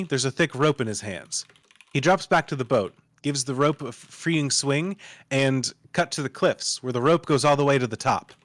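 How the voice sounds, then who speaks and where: Casting: male table-read narrator, medium pitch, delivering screen directions dramatically, close dry sound. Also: a bit bright, dry recording. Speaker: narrator reading stage directions.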